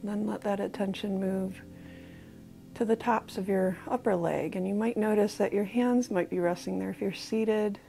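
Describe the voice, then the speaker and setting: A woman with an American accent, slowly guiding a body scan meditation in a soft, calm voice. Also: mid-range voice, creaky. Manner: slow, soft-spoken, calm guidance with pauses. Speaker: mindfulness guide.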